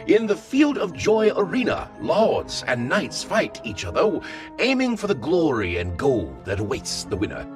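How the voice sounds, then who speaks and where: A man playing a pompous, theatrical lord in a medieval fantasy game, with grandiose, drawn-out, florid delivery. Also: mid-range voice. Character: pompous, theatrical powerful lord and tournament host.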